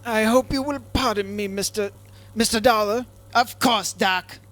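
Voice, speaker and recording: A man's voice: high voice, unhurried, a bit bright. A man playing a straight-talking, inquisitive insurance investigator in an old-time radio detective drama, in plain, clipped phrases. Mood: probing, casual.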